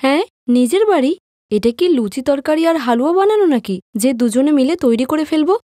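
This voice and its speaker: Woman putting on an excitable, lively young twin sister. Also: sits high, a little fast, no echo, clean studio sound, pitched above the performer's own voice. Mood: excited, playful. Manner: chatty, exclaiming. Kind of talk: cartoon moral story voice acting.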